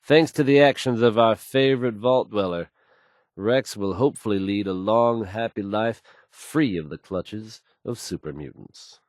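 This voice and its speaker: Man playing a low-voiced, confident, suave radio DJ. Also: unhurried, darkish tone, dry recording, clean studio sound, natural voice. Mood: upbeat, confident. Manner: smooth, self-assured, wry showmanship. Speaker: radio DJ reading news. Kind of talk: polished radio news patter.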